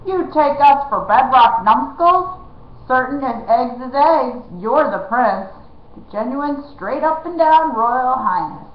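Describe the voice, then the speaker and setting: A woman voicing a big, crafty, bullying male outlaw who talks loud and coarse. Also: high-pitched, lively intonation, slow pace, pitched above the performer's own voice. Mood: suspicious. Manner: loud, coarse rustic talk. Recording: roomy, slightly dull recording.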